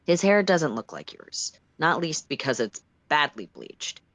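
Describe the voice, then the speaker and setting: Expressive storyteller with a very dry, close sound. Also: mid-range voice, unhurried, no room sound. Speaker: storytime livestream reader. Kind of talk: expressive fan fiction reading in second person.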